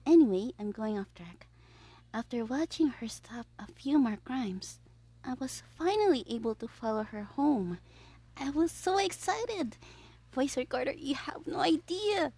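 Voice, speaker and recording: A woman voicing a gleeful, scheming young male supervillain in an ASMR audio roleplay, theatrical and petulant, musing aloud with breathy asides. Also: high-pitched, mellow, exaggerated voice.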